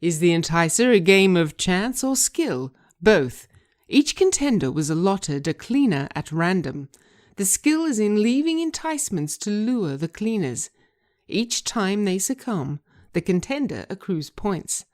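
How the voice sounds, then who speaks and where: A woman's voice: medium pitch, big pitch swings, measured pace, a little mellow. Woman playing a glib, projecting TV show host. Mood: showy, emphatic. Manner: emphatic presenter projection. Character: glib upbeat reality television show host. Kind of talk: dramatic reading of a screenplay.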